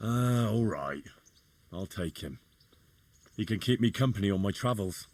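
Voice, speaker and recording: Lively, low-voiced young medieval man. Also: a little slow, dry recording. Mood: hesitant, agreeable. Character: curious, easygoing young medieval blacksmith's son. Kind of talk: video game cutscene acting, casual dialogue.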